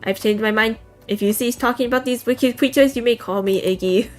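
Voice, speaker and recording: A woman's voice: on the high side, faint music behind, close to an everyday voice. A woman doing a haughty, dignified house cat. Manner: measured and haughty.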